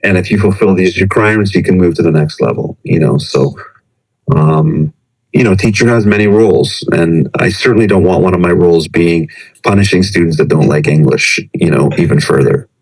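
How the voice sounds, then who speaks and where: Low-voiced reflective male professor. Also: a little fast, a little mellow, breathy edge, low-quality recording. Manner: reflective, hesitant, many restarts and fillers. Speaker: professor, English teacher in Japan. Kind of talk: conversational podcast interview.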